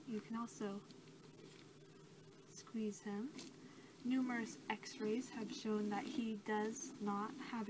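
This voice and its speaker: A soft-spoken young woman doing an improvised ASMR role-play as a researcher, halting and casual, recorded at arm's length in a small bedroom. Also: medium pitch. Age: young adult. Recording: clearly noisy home recording.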